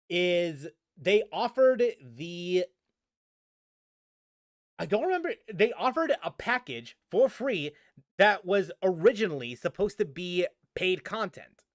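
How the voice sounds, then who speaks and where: A man's voice: sits high, a bit bright, no room sound, clean studio sound. A man streaming a game and ranting casually, rambling with many restarts and fillers. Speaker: gaming streamer.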